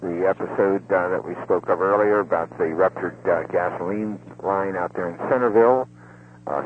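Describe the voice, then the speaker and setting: A man with an American accent giving a casual, rambling live radio report on the police and fire beat, full of hesitations. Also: low-pitched. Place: out in the field, by radio link. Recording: noisy muffled two-way radio link, hum.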